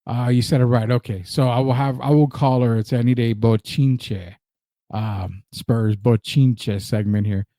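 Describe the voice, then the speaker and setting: A man's voice: mid-range voice, fairly even intonation, measured pace, dark tone, no room sound, clean studio sound. A man from Rico, Spanish speaker, hosting a casual sports talk show and driving his points home by repeating them. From Puerto Rico. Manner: emphatic, repeats his points, reads out viewer comments.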